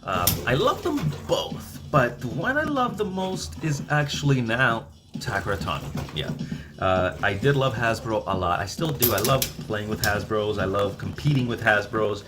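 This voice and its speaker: Low-voiced dad, rambling and chatty. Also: male voice, lively intonation, moderate pace, noisy background. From El Salvador. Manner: rambling, chatty, restarts words while handling toys. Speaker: YouTube channel host. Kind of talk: casual livestream chat and Q&A. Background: music playing.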